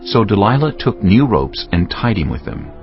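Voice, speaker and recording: A man narrates dramatized scripture in a deep, creaky voice. His delivery is solemn and dramatic. Music plays clearly underneath. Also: big pitch swings, normal speed. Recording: dull band-limited audio over music.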